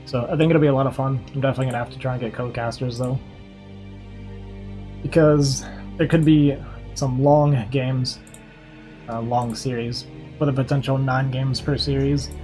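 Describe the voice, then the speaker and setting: A man's voice: mid-range voice, fairly even intonation, moderate pace, long pauses, dark tone, soft background music. A young man streaming from his computer, chatting casually and rambling with lots of fillers, his voice worn out from talking. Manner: rambling, filler-heavy, upbeat. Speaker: streamer and caster. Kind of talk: casual livestream chat.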